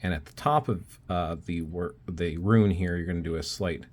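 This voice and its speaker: Deep-voiced man explaining runes. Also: moderate pace. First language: not Icelandic. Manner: relaxed, talks while drawing, thinking aloud with fillers. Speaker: self-taught rune hobbyist teaching. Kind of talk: casual step-by-step tutorial.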